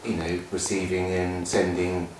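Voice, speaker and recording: Very echoey, deep-voiced man. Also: completely flat delivery, unhurried. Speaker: session attendee asking questions. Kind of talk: casual questioning. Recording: very echoey room, distant mic, clear noise.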